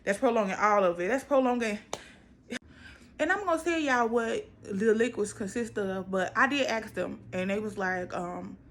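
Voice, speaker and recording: A woman's voice: mid-range voice, normal speed, slight background noise. An American woman in her twenties chatting casually to viewers, emphatic and repeating phrases for stress. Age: 20s. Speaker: vlogger. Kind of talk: casual personal vlog chat to viewers.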